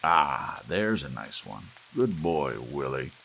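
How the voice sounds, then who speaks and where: A man's voice: very deep voice, big pitch swings, takes it slowly, vocal fry, a bit bright, heavily acted. A man voicing a domineering, self-important ancient grandfather in an audiobook, with a barking, portentous delivery. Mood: approving. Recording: rain and hiss behind.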